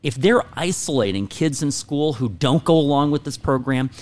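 A middle-aged man hosting a talk show, speaking in an opinionated, conversational style with deliberately stressed words. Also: a little high, expressive, a little mellow.

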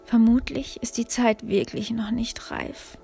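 A woman voicing a personified old wisdom, weary and reluctant, speaking in hushed, doubtful tones. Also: fairly high pitch, steady tone, unhurried, vocal fry, faint music behind. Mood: bewildered.

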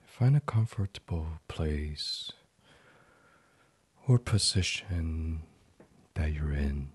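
A man leading a sleep meditation in an ASMR style, gentle and soothing, breathy and often half-whispered. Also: very deep voice, animated, takes it slowly. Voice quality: breathy, hushed. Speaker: guided meditation host.

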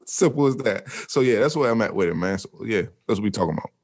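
Casual young male podcast co-host, lowish voice, animated, rambling talk. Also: a little fast. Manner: animated, rambling, laughs often.

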